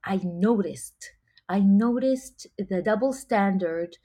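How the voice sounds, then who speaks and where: A woman from Israel, a singer chatting as a guest in an interview. She is animated, laughs often and restarts her sentences. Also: medium pitch, slow pace, husky. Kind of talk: casual interview chat.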